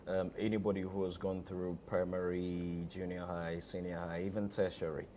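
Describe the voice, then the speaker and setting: A man's voice: deep voice, flat delivery, moderate pace, mellow, a little hiss or hum. A Ghanaian-accented man being interviewed as a theatre director, talking conversationally and leaning on repeated phrases for emphasis.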